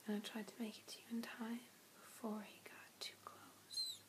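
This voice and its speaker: A young woman playing a gentle, apologetic vampire in an ASMR roleplay, whispering slowly and deliberately with pauses. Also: on the high side, level tone, lightly acted. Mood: solemn, intimate. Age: young adult. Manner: slow, hushed, deliberate, with pauses. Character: gentle, apologetic female vampire rescuer, ancient but youthful. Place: small room indoors. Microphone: phone or webcam at arm's length.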